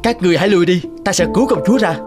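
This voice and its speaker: Man acting an earnest, higher-voiced young prince. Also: very fast, close to an everyday voice. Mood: confident, courteous. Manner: earnest, heroic. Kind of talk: cartoon voice acting. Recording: music behind.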